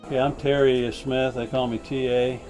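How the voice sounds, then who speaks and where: A man's voice: mid-range voice, level tone, moderate pace, darkish tone. An older man, a veteran, recalling his service in a plain, easygoing way during a documentary interview. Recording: music bed under the voice.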